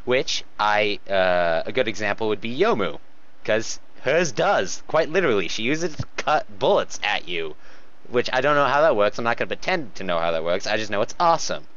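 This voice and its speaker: A man's voice: on the low side, very animated, normal speed, a bit bright. A man giving casual, joking commentary as a co-host, nitpicking and interjecting, on a lo-fi recording. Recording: dull, lo-fi voice recording.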